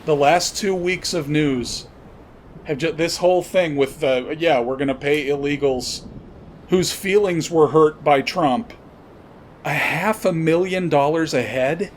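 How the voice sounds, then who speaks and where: A man hosting a podcast, giving unscripted political commentary in a blunt, profane, halting way with pauses and repeated words. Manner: halting, searching delivery with pauses and repetitions. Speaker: podcast host. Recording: noisy, with low rumble behind. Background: noise and rumble.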